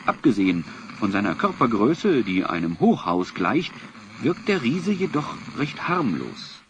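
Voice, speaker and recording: A man narrating a children's audio drama in measured, literary scripted storytelling. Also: medium pitch, big pitch swings, background noise.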